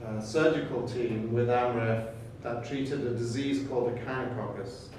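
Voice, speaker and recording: An older man from Kenya whose first language is Swahili, speaking in a flat, even tone. He talks in short, measured phrases with pauses, as if welcoming a group. The recording is far from the mic in a very echoey room. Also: mid-range voice, background noise. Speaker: program director welcoming students. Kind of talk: informal welcome talk.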